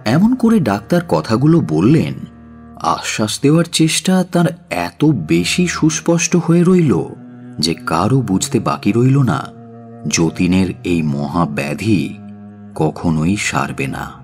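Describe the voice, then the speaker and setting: A man narrating a dramatic audio story in a measured, solemn and expressive way. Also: fairly low pitch. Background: faint music.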